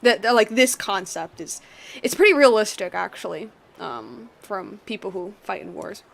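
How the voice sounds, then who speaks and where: A young woman giving casual, chatty live gameplay commentary, rambling and excitable as she goes. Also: medium pitch, normal speed, creaky. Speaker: game streamer.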